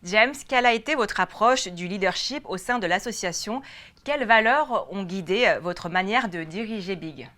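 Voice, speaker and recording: A woman's voice: mid-range voice, animated, moderate pace, sharp tone. A woman working as a television interviewer, putting formal, prepared questions in a polite, courteous way. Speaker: TV interviewer and host.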